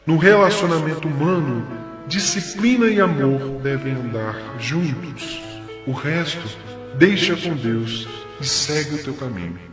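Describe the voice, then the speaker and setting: Man acting a slow, echoing, solemn spirit mentor. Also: on the high side, expressive. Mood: solemn, reassuring. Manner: solemn, measured, preaching with deliberate pauses. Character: benevolent male spirit mentor, solemn, gentle, fatherly counsellor. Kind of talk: audio drama, exhortation. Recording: echoey long hall reverb, music behind. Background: music.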